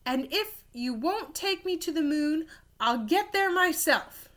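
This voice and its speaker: A woman's voice: high-pitched, lively intonation, takes it slowly, fairly bright tone, voice pushed up, heavily acted. A woman voicing a headstrong, plaintive female cow in a children's storybook, speaking emphatically. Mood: wailing, indignant. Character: headstrong female cow, wailing and grumbling about the moon.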